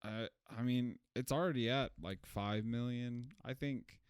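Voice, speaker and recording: A man's voice: mid-range voice, unhurried, clear, resonant tone, no echo. A man co-hosting a podcast and chatting loosely about a movie, full of restarts and fillers. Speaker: podcast co-host. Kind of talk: casual chat, movie review.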